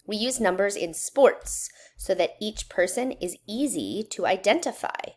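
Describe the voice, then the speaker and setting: A woman reading a children's educational book aloud in a measured, instructional way, putting questions to young listeners. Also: medium pitch, expressive. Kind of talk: children's read-aloud narration.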